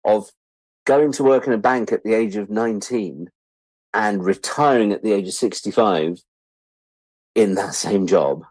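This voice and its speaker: Low-voiced English man in his sixties. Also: a little slow, pauses often, dry recording, rough recording. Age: 60s. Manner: animated storyteller, self-deprecating, acts out quoted remarks. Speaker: interview guest, writer and editor. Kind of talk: casual anecdotal interview talk.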